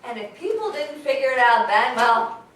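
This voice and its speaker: A hesitant mother, played by a woman tells her family's story in a staged reading. Her fairly high voice moves around a lot in pitch. It is heard from far away in a very reverberant hall. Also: big pitch swings, moderate pace, a bit bright. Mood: recounting. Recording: very echoey hall, recorded from far off.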